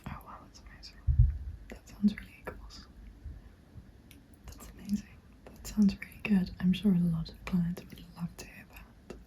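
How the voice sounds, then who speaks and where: A young woman in a gentle, encouraging ASMR roleplay, speaking in a soft breathy whisper. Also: on the low side, level tone, normal speed, pauses often, rough recording. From England. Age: young adult. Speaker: ASMR roleplayer playing a witch recruitment agent. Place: small dim room indoors. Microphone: close microphone. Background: computer keyboard typing.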